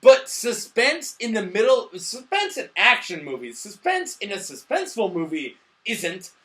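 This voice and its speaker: A drunk man co-hosting a casual, sweary movie review, excitable and given to shouting. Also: high-pitched, normal speed.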